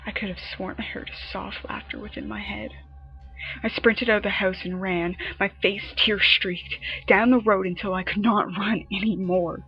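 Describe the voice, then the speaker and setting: A woman narrating a scripted horror story in a dramatic manner, her phrases often dropping into a breathy hush. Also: medium pitch, steady tone, normal speed. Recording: muffled lo-fi home recording, music behind. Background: background music.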